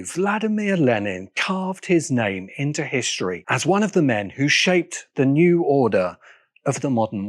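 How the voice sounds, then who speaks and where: A man delivering scripted documentary narration as a video essay host, in a deliberate, dramatic storytelling manner with pauses for emphasis. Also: medium pitch, no room sound, clean studio sound. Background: background music.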